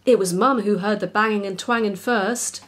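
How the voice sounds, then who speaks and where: A woman's voice: medium pitch, fairly even intonation, normal speed, fairly bright tone. A woman narrating a children's story expressively as its author.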